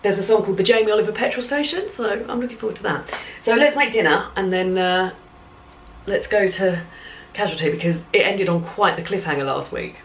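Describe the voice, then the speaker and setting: A woman's voice: medium pitch, a little fast, slight background noise. A woman chatting casually in a home vlog diary about her plans and reading, rambling and correcting herself as she goes. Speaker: book vlogger.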